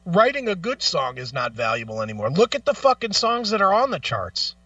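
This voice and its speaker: A man with a high, bright voice delivers an opinionated talk show rant. He is blunt, profane and emphatic, firing off rhetorical questions. The audio is dry but a little dull and lo-fi. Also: huge pitch swings, fairly quick, dry recording, recorded from a distance.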